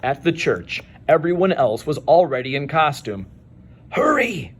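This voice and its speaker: A man reading a children's story aloud, with measured delivery and dramatic pauses. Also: on the high side, expressive. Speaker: storybook reader. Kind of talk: expressive children's read-aloud narration.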